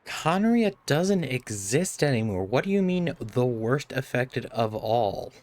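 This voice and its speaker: A man giving casual, low-key, muttered commentary as he streams a video game. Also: sing-song, slow pace, a little mellow, dry recording. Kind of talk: casual live game commentary, reading dialogue choices. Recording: game music behind the voice. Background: game music.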